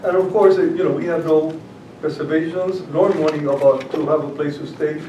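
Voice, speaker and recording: A man's voice: fairly high pitch, steady tone, normal speed, background noise. An older man with a Puerto Rican accent giving a public talk, telling stories with many fillers and restarts. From Puerto Rico. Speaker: guest speaker. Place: library meeting room. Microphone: far camera. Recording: roomy, distant, dull audio.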